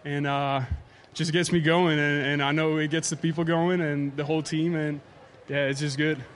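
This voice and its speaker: A young man whose first language is Czech, a pitcher answering postgame questions haltingly with many fillers at the ballpark. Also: flat delivery, slight background noise. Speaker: baseball pitcher. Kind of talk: postgame interview. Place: ballpark outdoors.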